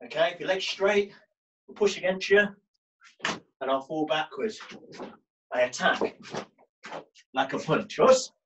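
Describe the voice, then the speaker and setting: A man's voice: fairly high pitch, lively intonation, measured pace, long pauses, a bit bright, poor audio. A man coaching an online karate class from a small brick-walled gym, calling out drills and cheering students on as he trains with them. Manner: barking encouragement and commands while training. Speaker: karate instructor.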